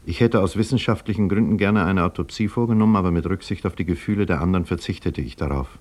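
An actor playing a sober male scientist and doctor who narrates his own story in a measured, grave, explanatory manner, 1950s radio drama style. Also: low-pitched, darkish tone.

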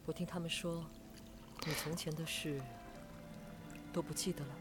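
An actor playing a proud, guarded young gentleman in a costume radio drama, delivering lines in a hushed, dramatic way. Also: fairly high pitch, little pitch movement, moderate pace, music in the background, natural voice. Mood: quiet, pensive. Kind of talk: Chinese radio drama acting.

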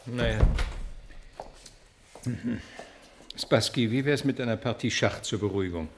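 A man's voice: medium pitch, a little fast, noisy background, close to an everyday voice. An actor playing a glib, callous male scientist in a radio drama. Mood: breezy.

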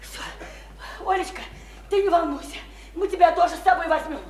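A very high, overwrought woman's voice in an echoey room. She is a provincial dreamer in a radio play, desperate to get away, gushing and repeating herself with theatrical intensity. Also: a little fast, darkish tone. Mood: giddy excited. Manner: gushing, repetitive, colloquial, hysterically elated. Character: overwrought, pleading provincial woman desperate to escape her town. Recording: roomy, echoing stage-like radio play recording.

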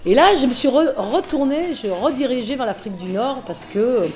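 A middle-aged woman musician chatting casually in an interview, relaxed and full of fillers. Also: sits high, a little fast. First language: French. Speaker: musician guest.

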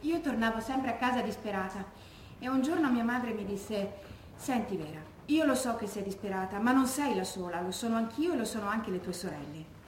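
A woman's voice: a little high, lively intonation, a little fast, natural voice. An actress playing an anguished bereaved daughter who recalls her stoic mother in an intimate, earnest theatrical monologue. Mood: intimate, sorrowful. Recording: echoey room, faint background noise.

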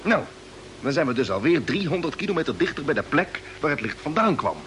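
An actor playing a steady, resolute expedition commander in an old Dutch radio drama, explaining things patiently and firmly. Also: male voice, on the high side, a little fast. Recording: noisy old radio recording with ambience.

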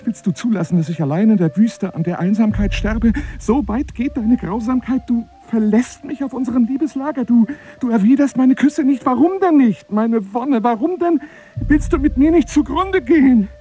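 An actor playing an obsessive, grandiose telephone seducer in a radio drama, husky and breathy, florid and formal, wounded and menacing. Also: male voice, very high voice, moderate pace, mellow. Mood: feverish, pleading. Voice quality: husky, breathy, often dropping to a whisper. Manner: theatrical, florid, formal address, swinging from pleading to scornful.

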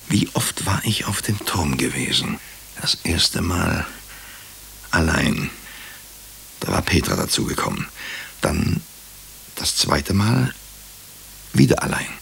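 An actor playing a wry, brooding hospital doctor who tells a murder mystery in a German radio drama, in measured, introspective, clipped phrases. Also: male voice, deep voice, gravelly, a bit bright, a little hiss or hum, exaggerated voice. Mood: tense searching. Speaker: narrator and lead character. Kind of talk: German radio drama, first-person crime narration.

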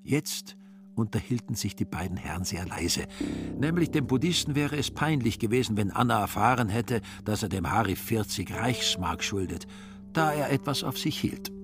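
A man narrating a literary radio play with dry irony in a slightly husky voice. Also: fairly low pitch, animated, normal speed, music in the background. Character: dry, ironic male storyteller of a literary tale.

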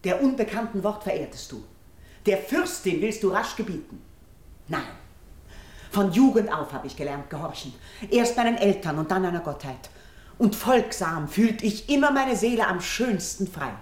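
A woman's voice: mid-range voice, expressive, normal speed, close to an everyday voice. An actress playing a proud, principled priestess of royal birth, solemnly declaiming verse in a classical radio drama, with echo around her. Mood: firm defiance. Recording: roomy, echoing studio sound.

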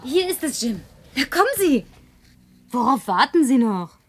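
A woman's voice: sits high, sing-song, a little slow, slight background noise. An actress in a German radio play, playing a flirtatious, confident woman with a playful, teasing manner. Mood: brisk, inviting. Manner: teasing, coquettish. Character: flirtatious, confident, playful woman driving instructor in post-apocalyptic city.

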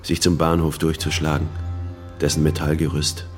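A low-voiced narrator recounts a gritty urban story in a radio play. The delivery is literary, with soft music underneath. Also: male voice, completely flat delivery, normal speed. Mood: narrating. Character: first-person narrator of a gritty urban tale.

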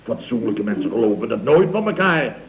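A man's voice: mid-range voice, sing-song, brisk. An actor playing a scheming, mock-innocent contractor boss in a 1960s radio comedy, with a Netherlands Dutch accent, stammering out repeated phrases as he insinuates. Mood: sly probing. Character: wheedling, scheming male building contractor boss. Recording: muffled old radio recording, roomy sound.

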